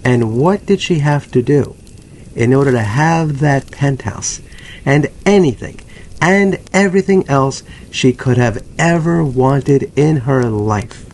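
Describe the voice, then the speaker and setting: A man narrating a scripted dramatic story with deliberate, theatrical phrasing and pauses. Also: mid-range voice, a little mellow, slight background noise.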